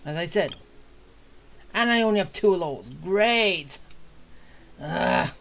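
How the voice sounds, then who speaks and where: A game streamer thinks aloud in casual, rambling commentary. The voice sits at a middling pitch and moves slowly, often slipping into muttering to itself. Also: lively intonation, a bit bright. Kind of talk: casual gameplay commentary, talking to self.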